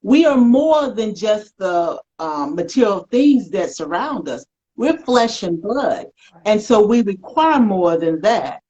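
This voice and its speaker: A woman hosting a conversational faith-themed interview, speaking in an emphatic, reflective way with drawn-out phrases. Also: medium pitch, sing-song, warm tone, breathy edge, highs cut off. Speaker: talk show host.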